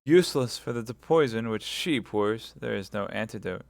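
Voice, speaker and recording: A grim swordsman speaks low and measured, heavy with restraint, as a male narrator plays him. Clear, dry recording close to the mic. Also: animated, no room sound. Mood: grave. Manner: grave, measured. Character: grave older male swordsman, stern and broken.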